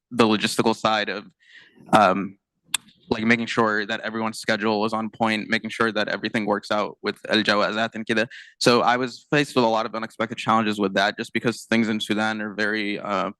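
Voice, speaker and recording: A man's voice: fairly low pitch, sharp tone, no room sound, low-quality recording. A young man speaking as a physician on a conference panel, casual, with many fillers. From United States. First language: not Arabic. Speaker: panelist, internal medicine physician.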